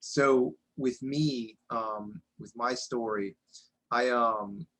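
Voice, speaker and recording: Forties male guest, earnest and reflective, mid-pitched, everyday conversational delivery. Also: drawn-out words, fairly bright tone, poor audio. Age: 40s. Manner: earnest, reflective, frequent "you know" and restarts. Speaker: integration coach, podcast guest. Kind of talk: candid personal interview talk.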